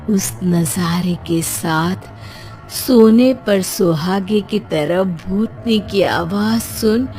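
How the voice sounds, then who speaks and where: A woman storyteller reading scripted narration for an animated tale. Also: mid-range voice, creaky.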